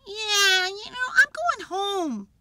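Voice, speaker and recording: A woman's voice: extremely high pitch, wildly swooping pitch, measured pace, very noisy, pitched above the performer's own voice, exaggerated voice. A woman voicing a blunt, whiny, reluctant male animal in a children's storybook. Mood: fed up. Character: reluctant, outspoken grumpy male party animal.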